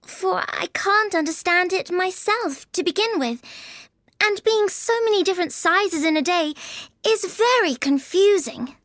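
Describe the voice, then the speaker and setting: A man's voice: very high-pitched, animated, unhurried, pushed and bright, no room sound, pitched above the performer's own voice, heavily acted. A man voicing a shy, polite, flustered little girl in a storybook reading, speaking hesitantly. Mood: shy, confused.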